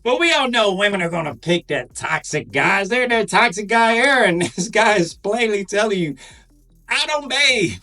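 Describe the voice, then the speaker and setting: A man's voice: high voice, expressive, normal speed, pushed and bright. A man hosting a reaction video, giving casual joking commentary over clips. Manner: joking, chuckling asides. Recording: distant mic with music under.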